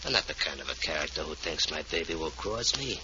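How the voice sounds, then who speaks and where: A man's voice: a bass voice, animated, fast. An actor playing a possessive, suspicious tough guy with streetwise slang in a 1940s radio drama. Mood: suspicious, menacing. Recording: dull, noisy 1940s radio recording.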